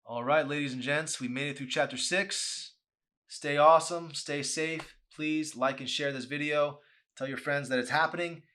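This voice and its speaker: A man reading a novel aloud as expressive narration, with an animated, emphatic delivery. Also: on the high side, normal speed. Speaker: author reading own novel.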